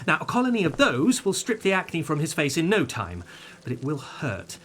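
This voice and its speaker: A man's voice: fairly high pitch, huge pitch swings, moderate pace, a bit bright, a little hiss or hum. A man playing an eccentric, enthusiastic insect expert in a comedic audio drama, pedantic and unfazed. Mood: eager expertise. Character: eccentric, enthusiastic male insect expert improvising grisly surgery.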